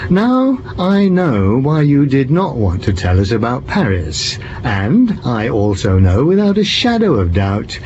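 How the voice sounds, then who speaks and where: An actor playing a shrewd, theatrical French police commissioner in an audio drama, pompous and teasing as he deliberates. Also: mid-range voice, very animated, a little mellow. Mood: ominous, deliberate. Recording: muffled, with background noise.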